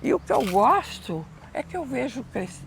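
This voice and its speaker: A woman's voice: high voice, sing-song, moderate pace, creaky, slight background noise. A woman in her eighties showing off her garden in casual chat outdoors, chatty and often breaking off to restart. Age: 80s.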